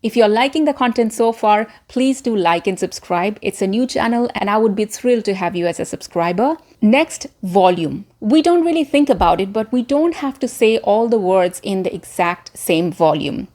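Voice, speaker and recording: A woman's voice: medium pitch, lively intonation, normal speed, hardly any pauses, darkish tone. A woman hosting a scripted instructional video like a trained presenter, articulate and emphatic, posing rhetorical questions. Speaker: former media presenter and voice coach hosting tutorial.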